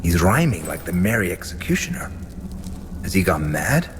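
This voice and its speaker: A man playing a grim, pious and cynical inquisitor in a video game, talking in a measured, formal, stern way. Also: deep voice, big pitch swings, long reverb tail, background noise. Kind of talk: video game character acting.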